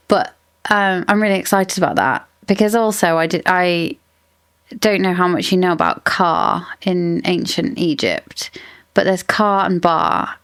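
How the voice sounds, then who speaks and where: A woman's voice: lowish voice, fairly bright tone, clean tone, dry recording, clean studio sound. Rambling woman musing about a book. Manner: thinks aloud, rambling, lots of "like" and hedging. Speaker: book podcast host. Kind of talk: casual book discussion.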